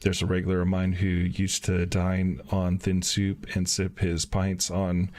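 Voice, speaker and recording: A man's voice: deep voice, monotone, a little slow, darkish tone. A man reading aloud the lines of a worried tavern keeper in a game, in his own everyday voice. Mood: confiding. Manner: reads game dialogue aloud in his plain voice.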